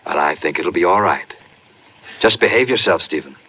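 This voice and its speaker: An actor playing a smooth, patronizing doctor with quiet menace in a 1950s radio drama. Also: male voice, deep voice, expressive, normal speed, heavily acted. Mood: watchful. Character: smooth, controlling male phony doctor from a rest home. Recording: muffled 1950s radio recording.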